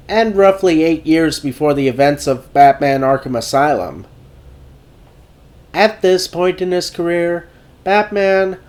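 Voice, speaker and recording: Man with a cold narrating a review. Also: a little high. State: recovering from a cold. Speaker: video essay host.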